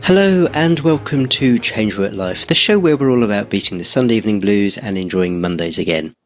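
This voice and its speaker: A man hosting a career podcast as a coach, reading a scripted intro and then asking interview questions in a measured, politely dry way. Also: fairly low pitch, lively intonation, over music.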